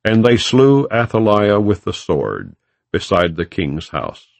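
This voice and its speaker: A man narrating scripture as an audiobook, in a solemn, formal and measured way without dramatizing it. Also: on the low side, wildly swooping pitch, creaky, dry recording.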